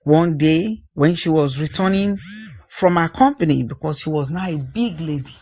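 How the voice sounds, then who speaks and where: A storyteller reads a scripted folktale in a husky voice of medium pitch. The phrasing is deliberate, with repetition for emphasis. The sound is dry, with no room around it. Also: darkish tone, no room sound.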